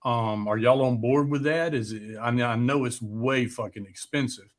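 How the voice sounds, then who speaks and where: A man's voice: medium pitch, over a noisy crowd. A man with a Southern US accent chatting casually, blunt and sweary, feeling his way through questions. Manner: blunt, profane, halting questions. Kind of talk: casual chat.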